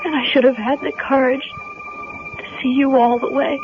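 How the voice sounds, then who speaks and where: An actress playing an earnest, grieving young heroine in a 1970s radio drama. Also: female voice, sits high, huge pitch swings, moderate pace, over music. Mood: haunted, reflective. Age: young adult. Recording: muffled, noisy vintage radio recording.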